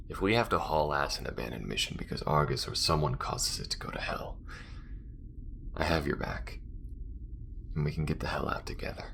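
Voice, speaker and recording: Man acting a very deep, gravelly alien smuggler. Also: a little hiss or hum, full character voice. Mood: worried. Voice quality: very deep, gravelly, breathy murmur. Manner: halting, soft-spoken, often dropping to a whisper. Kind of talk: intimate audio roleplay spoken to the listener.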